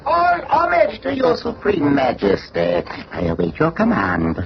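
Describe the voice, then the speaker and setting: A man's voice: high-pitched, huge pitch swings, measured pace, exaggerated voice. A man playing a boastful alien super warrior in a superhero radio drama, declaiming with menace. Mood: obedient. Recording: noisy muffled old radio drama.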